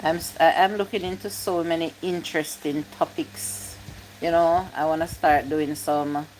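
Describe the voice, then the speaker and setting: A woman's voice: sits low, steady tone, normal speed, darkish tone, slight background noise, low-quality recording. A woman, chatting casually on a livestream, reading comments aloud and repeating her points. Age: 50s. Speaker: YouTube live streamer.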